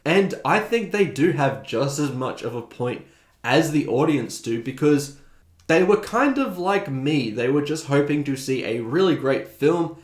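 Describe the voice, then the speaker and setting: A man's voice: fairly high pitch, animated, a little slow. A man hosting a video essay, giving opinionated, conversational commentary. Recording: roomy, echoing voice recording.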